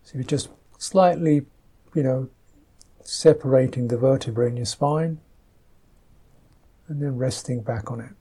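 A man teaching a guided meditation, speaking softly and gently in unhurried, halting phrases, with a slightly husky voice. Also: mid-range voice.

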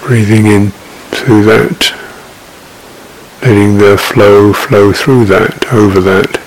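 A man guiding a meditation in an unscripted, halting, reflective way, leaving long pauses between phrases. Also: fairly low pitch, poor audio. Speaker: meditation teacher. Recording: steady background hiss.

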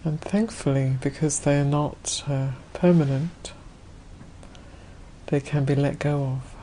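A woman guides a meditation in a low, mellow voice. Her phrasing is gentle and spacious, with a soft, unforced delivery. There is faint ambient noise behind her. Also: fairly even intonation, slow pace. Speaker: Buddhist nun leading a guided meditation.

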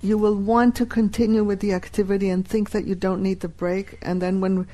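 A woman whose first language is Hebrew, teaching a live workshop and deliberately repeating key phrases. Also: medium pitch, little pitch movement, very noisy.

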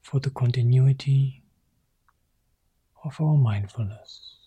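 A man leads a guided meditation in short, gentle phrases, often dropping to a breathy whisper. His voice is very dark and mellow, with a husky edge. He speaks very slowly and leaves long pauses between cues. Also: medium pitch. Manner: soft, slow phrases, often sinking to a whisper. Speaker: Buddhist monk leading meditation.

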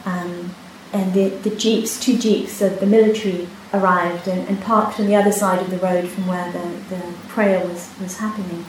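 Soft-spoken Hebrew-speaking woman teacher, echoey hall. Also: lowish voice, little pitch movement. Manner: soft-spoken, unhurried, with many pauses and restarts. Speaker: meditation teacher. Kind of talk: dharma talk with personal story. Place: retreat hall. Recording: echoey hall, faint hiss.

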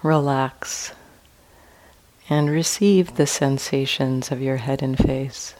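A woman teaching a guided meditation in a calm, gentle manner with long pauses between phrases. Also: a bass voice.

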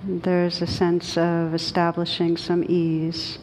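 A woman with a fairly low voice leads a guided meditation for a group. She speaks gently and without hurry, leaving long pauses between phrases. The recording has clear background noise with a low rumble. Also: monotone, low-quality recording. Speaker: meditation teacher.

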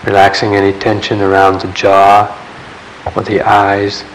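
A man leading a guided meditation in a calm, measured way, leaving long pauses between short phrases. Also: lowish voice, low-quality recording. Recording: steady background hiss.

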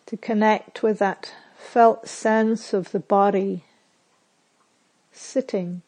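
A woman meditation teacher leading a guided meditation in a soothing, measured way, with pauses and phrases that end softly. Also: medium pitch, steady tone.

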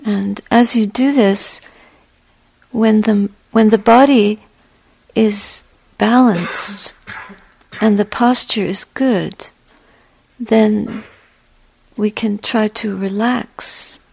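A woman teaching a guided meditation in a gentle, measured way, with a soft, breathy voice and long pauses between short phrases. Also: mid-range voice, no room sound, rough recording.

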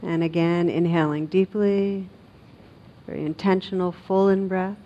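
A woman guides a meditation in a very slow, even voice. She is gentle and unhurried, leaving long pauses between short phrases. The recording is a little dull, with a faint noisy rumble. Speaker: meditation teacher.

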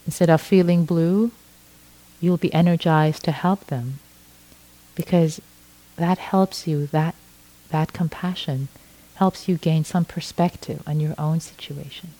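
A middle-aged woman from Iran, a native Farsi speaker, giving a gentle dharma talk as a meditation teacher, often restarting her phrases. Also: sits low, steady tone, rough recording. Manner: slow, gentle, with frequent restarts.

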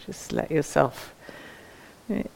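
A woman teaching mindful movement, giving gentle, unhurried, inviting instructions with pauses between them.

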